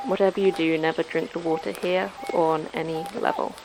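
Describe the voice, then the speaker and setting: A woman's voice: deep voice, steady tone, a little fast, creaky, noisy background. A woman playing a guarded, knowing guide through dangerous terrain in a sci-fi horror audio drama, cautioning and understated. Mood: wary.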